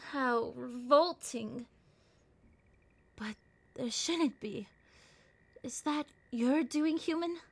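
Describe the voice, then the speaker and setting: Woman playing a slow-talking, haughty vampire girl. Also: sits high, breathy edge, exaggerated voice. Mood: disgusted, haughty. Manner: condescending, teasing, slow hypnotic murmurs and whispers. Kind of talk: ASMR roleplay.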